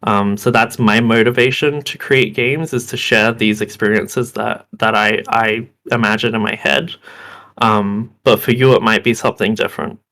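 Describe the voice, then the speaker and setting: Hesitant young man, a bit hoarse. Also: fairly low pitch, steady tone, moderate pace, sharp tone. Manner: hesitant, many ums and restarts. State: hoarse, losing his voice. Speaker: game developer panelist. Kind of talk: presentation talk.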